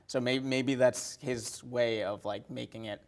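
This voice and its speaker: A man with a mid-pitched voice chatting casually as a podcast co-host, tentative and loose in his wording. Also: steady tone, unhurried, gravelly. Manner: tentative, loosely worded, hedging his opinions. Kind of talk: casual chat about films.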